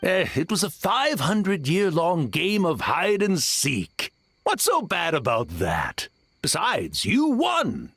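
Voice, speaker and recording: Flippant old tanuki trickster: game role, leisurely, breezy and pompous, very expressive. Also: female voice, fairly low pitch, slow pace, husky, no room sound, exaggerated voice. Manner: pompous, breezy self-excusing. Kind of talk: video game voice acting.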